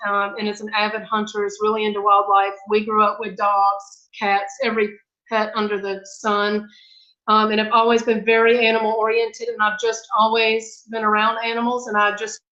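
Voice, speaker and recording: A woman's voice: on the high side, sharp tone. A woman from North Carolina with a Southern American accent, a zoo vet chatting in an interview, enthusiastic and talking in long runs. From North Carolina, USA. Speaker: guest, zoo veterinarian. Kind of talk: casual interview chat. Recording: roomy, dull remote audio.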